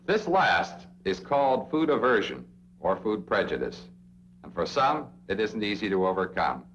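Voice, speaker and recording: Casting: American male narrator for a 1960s training film, lowish voice, emphatic direct address, lively inflection. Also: normal speed. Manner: direct address to the listener, rhetorical questions, emphatic. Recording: dull, noisy old film soundtrack with hum.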